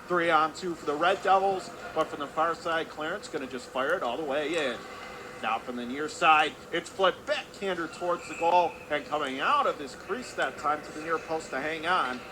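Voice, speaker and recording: High-voiced male hockey play-by-play announcer, noisy rink. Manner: clipped running phrases, rising excitedly with the action. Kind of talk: live radio sports commentary. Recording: clear background noise of an ice rink.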